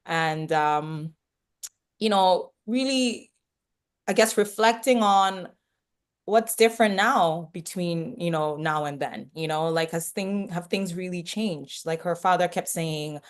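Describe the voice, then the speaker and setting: Woman from northern Ghana, book club panelist, slightly low pitch, loose conversational delivery. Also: slow pace, smooth. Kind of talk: casual book discussion.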